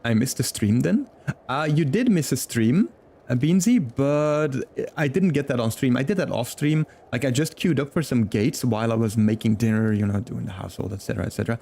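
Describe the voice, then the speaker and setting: A man's voice: mid-range voice, a little fast, vocal fry, warm tone. Chatty man streaming a game. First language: Flemish. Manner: chatty and friendly, greets chat by name, laughs often. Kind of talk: casual live-stream chat. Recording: game music in the background.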